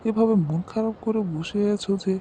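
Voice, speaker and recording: A man's voice: high voice, fairly quick, warm tone, slight background noise, close to an everyday voice. A man voicing an earnest, anxious husband in a horror cartoon. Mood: worried. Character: anxious, earnest husband moving into a new house.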